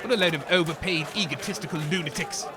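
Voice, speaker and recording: A man's voice: on the high side, fast, crowd noise, lightly acted. A man playing a grumpy, self-important radio co-host in a comedy, scornful and grumbling as he commentates. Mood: bewildered, scornful. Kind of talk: comic scripted commentary.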